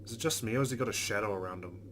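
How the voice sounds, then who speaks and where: A man's voice: on the low side, racing, noisy background. A man hosting a let's play, reading visual novel narration aloud.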